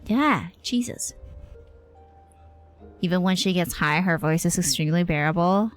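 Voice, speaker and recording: Low-pitched woman livestreaming a game. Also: lively intonation, unhurried. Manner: informal, slangy. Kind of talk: casual game commentary, reading dialogue aloud. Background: soft game music.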